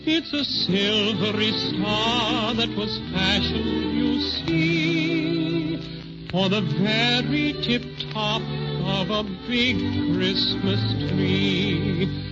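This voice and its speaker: A plucky little teddy bear in an old radio serial, chanting rhymes very slowly in a sing-song way. Loud music plays along, and the recording is muffled. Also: medium pitch. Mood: sing-song, earnest. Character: plucky little male toy teddy bear with shoe-button eyes. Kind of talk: 1930s radio musical storytelling. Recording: muffled 1930s radio transcription.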